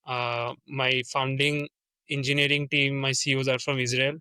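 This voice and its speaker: A man's voice: medium pitch, level tone, normal speed, sharp tone, clean tone, dry recording. A young man with an Indian accent, a sales rep talking through his work in a casual interview, earnest and full of restarts and fillers. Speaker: sales development representative, guest.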